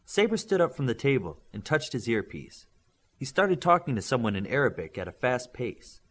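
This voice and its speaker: A man narrating an audiobook in a steady, expressive reading. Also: mid-range voice, normal speed. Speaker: audiobook reader.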